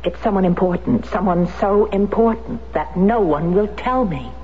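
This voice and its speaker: An actress playing a war widow who is determined to learn the truth, speaking in an earnest, urgent way. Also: female voice, medium pitch, normal speed, lightly acted. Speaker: lead actress in a radio drama. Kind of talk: 1970s radio drama acting. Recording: dull old radio recording, faint hiss.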